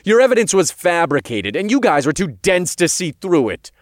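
A defiant male demon-king hero acted by a man talks in a forceful, snarky, informal way. His pitch swings widely, and the sound is close and dry, as in a fantasy audiobook. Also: big pitch swings, measured pace, no room sound. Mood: angry.

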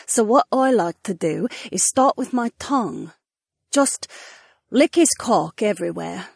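Confident, kind young woman, patient teacher, higher airy voice; played by a man. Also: vocal fry, no echo, studio quality, full character voice.

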